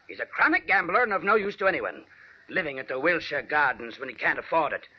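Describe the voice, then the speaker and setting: An actor playing a gruff, blunt, disapproving older uncle in an old radio drama. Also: male voice, a little high, very animated, quick pace. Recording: muffled old radio recording.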